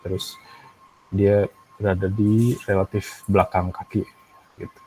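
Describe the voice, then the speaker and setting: A man with an Indonesian accent teaching an animation software tutorial, thinking aloud in a halting way with frequent pauses. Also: lowish voice, flat delivery, soft background music, low-quality recording. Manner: halting, pausing often, frequent throat clearing. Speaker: animation instructor.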